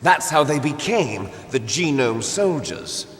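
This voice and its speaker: A man's voice: medium pitch, very animated, takes it slowly, a little hiss or hum. An actor playing a fanatical male rebel leader in a video game, declaiming dramatically with taunting menace. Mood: grim, revelatory. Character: theatrical male villain, fanatical rebel leader obsessed with genes. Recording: long hall reverb. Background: game music.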